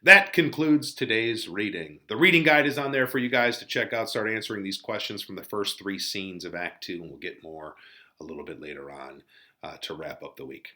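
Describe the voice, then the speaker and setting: A man teaching a literature lesson in a casual, colloquial way, with animated commentary. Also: on the low side, a little fast. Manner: animated, colloquial, acts out paraphrases.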